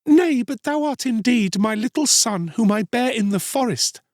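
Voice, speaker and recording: Man as a high, imploring beggar mother. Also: animated, a little slow, dry recording, studio quality. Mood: pleading. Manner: imploring, archaic storybook speech. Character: pleading, sorrowful poor beggar woman, a loving mother.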